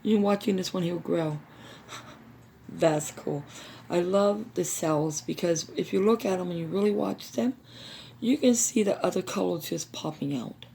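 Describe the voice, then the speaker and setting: A woman with a hoarse, recovering voice hosting a craft how-to video, chatting casually with viewers. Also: on the low side, a little slow, dark tone, slight background noise. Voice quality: gravelly. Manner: chatty, addresses viewers as you guys.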